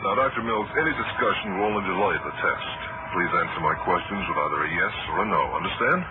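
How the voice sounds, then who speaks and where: A man's voice: sits low, fast, loud music behind the voice, lightly acted. A man playing an authoritative, methodical detective in an old-time radio drama. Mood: stern, probing. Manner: pointed, methodical questioning. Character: probing, authoritative male detective running lie-detector tests. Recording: muffled narrow-band vintage radio, loud noise.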